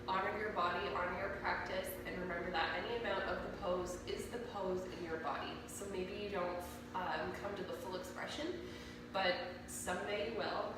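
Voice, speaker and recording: A woman with a cold and a slightly nasal voice, guiding a yoga class with calm, slow cues for poses and breathing. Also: lowish voice. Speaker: yoga instructor. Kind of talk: guided yoga class instruction. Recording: echoey room, mic at a distance, audible noise.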